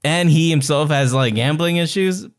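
A man's voice: on the high side, normal speed. A man co-hosting a podcast in a casual book chat, informal and joking, with slangy phrasing. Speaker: podcast co-host.

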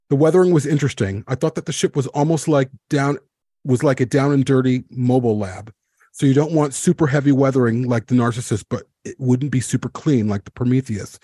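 A man's voice: mid-range voice, fairly quick, dark tone, husky, no room sound, clean studio sound. A man hosting a podcast roundtable, talking and reading out written replies. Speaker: podcast host.

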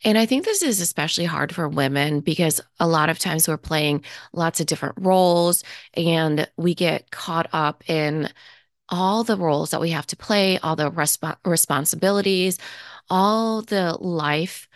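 A woman with a fairly low voice talks through her podcast in an earnest, reflective way, stopping now and then to restart a thought. She sounds slightly stuffy, as if fighting a cold. The recording is close and dry, with no room sound. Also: normal speed, dry recording. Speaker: podcast host. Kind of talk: reflective solo podcast talk.

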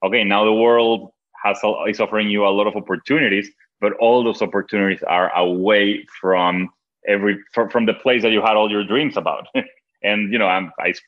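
A film director from Venezuela, a man with a Venezuelan accent and Spanish as his first language, answering interview questions in halting, restarting speech with frequent laughs. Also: on the low side, fairly even intonation. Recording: dull lo-fi sound.

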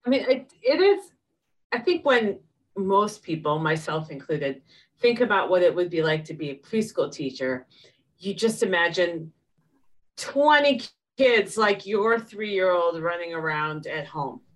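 A woman's voice: fairly low pitch, very animated, measured pace, recorded from a distance, poor audio. A woman hosting an interview, talking in a conversational way as she leads into her questions. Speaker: interview host. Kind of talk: casual interview chat.

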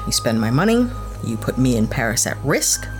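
A woman's voice: very deep voice, big pitch swings, moderate pace, over music. A woman playing an irritable, sarcastic, distrustful spaceship owner in a sci-fi audio drama, with a blunt, snappish way of talking. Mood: angry, accusing.

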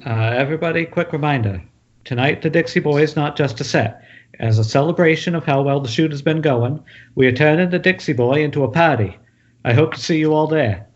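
A chummy, slightly unsure film director, voiced by a man at an amateur script read. He is halting, in a fairly high voice. The audio is dull with faint noise. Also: close to an everyday voice. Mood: halting, friendly. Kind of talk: amateur table read of a screenplay. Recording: muffled, faintly noisy internet call audio.

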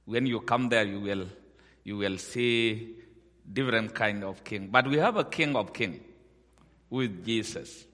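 A middle-aged man from Sudan, a missionary giving a guest sermon in slow, halting, simple English. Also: lowish voice, fairly bright tone, in a large hall. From South Sudan.

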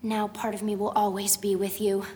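A woman's voice: mid-range voice, level tone, normal speed, warm tone, natural voice. A woman playing a precocious, prickly young girl inventor in a radio drama, emphatic and proud. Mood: excited, absorbed. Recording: reverberant student radio drama recording.

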